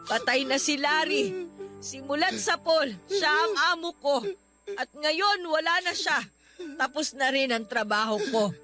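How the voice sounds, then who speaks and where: A woman's voice: high voice, animated, unhurried, sharp tone, soft background music, full character voice. A woman playing a stern, hurt older nanny in a Filipino radio drama, emotional and reproachful as she preaches to her grown ward. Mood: cold, resigned.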